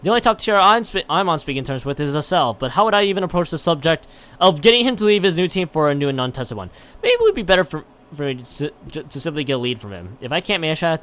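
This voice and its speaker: A man's voice: fairly high pitch, big pitch swings, fast talker, a bit bright. A man livestreaming, reading a visual novel aloud and chatting, often stumbling and repeating words, with dramatic emphasis. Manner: stumbling over read text, repeating and restarting words. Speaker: live game streamer.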